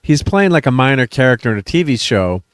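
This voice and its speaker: A man's voice: mid-range voice, sing-song, fast, clean tone, no echo, studio quality. A middle-aged man hosting a casual chat show, rambling with many fillers and restarts. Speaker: host.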